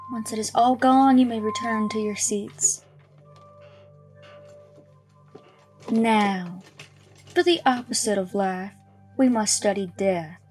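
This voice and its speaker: An actress playing a pious, calmly menacing schoolteacher in a horror audio drama, preaching in a measured way. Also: female voice, mid-range voice, close to an everyday voice. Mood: coaxing, sinister. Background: music.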